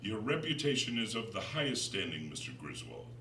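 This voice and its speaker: A man performs a sinister storybook gentleman, soft-spoken and oily with false humility. His flattery is oversweet with a chill beneath it. The recording is roomy and distant, with clear noise. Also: on the low side, fairly quick, voice pushed up, heavily acted. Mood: fawning. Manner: unctuous, oversweet, turning chilly.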